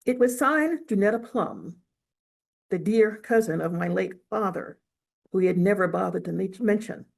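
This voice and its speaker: A woman reading literary fiction aloud in a measured, expressive storytelling manner. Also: medium pitch, creaky. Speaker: author reading from own novel. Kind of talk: audiobook-style literary reading.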